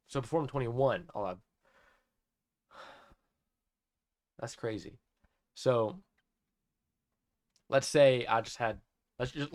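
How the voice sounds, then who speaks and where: An eighteen-year-old man ranting excitedly in a personal vlog, amazed and repeating himself, often restarting sentences. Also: fairly low pitch, dry recording. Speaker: vlogger.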